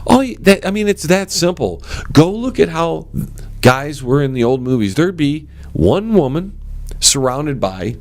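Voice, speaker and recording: Animated, forceful male talk show host. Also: a little slow, dry recording. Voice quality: strong voice. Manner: animated and forceful, swings up in pitch; addresses listeners as ladies and gentlemen. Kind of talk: opinionated talk radio monologue.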